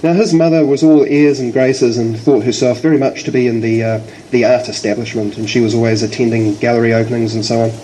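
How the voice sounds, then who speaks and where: A man's voice: medium pitch, steady tone, fast talker, hardly any pauses, warm tone, a little hiss or hum, poor audio. A man with a New Zealand accent and a slight cold, giving a prepared formal introduction as the host of a public event.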